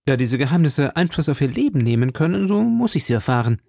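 An eager young gallant in his teens, voiced by the narrator, lifted in pitch and persistent. He speaks in formal, ardent storybook dialogue with a husky edge. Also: sing-song, fast talker, warm tone, no echo, clean studio sound. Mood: insistent, irritated. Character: ardent, insistent young gallant man, not yet twenty. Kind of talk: audiobook character dialogue.

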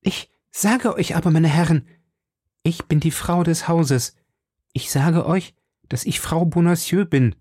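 A man's voice: fairly high pitch, measured pace, a little mellow, breathy edge, no echo, clean studio sound, pitched above the performer's own voice. A man voicing a desperate young woman who is under arrest and pleads insistently with her captors. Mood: pleading.

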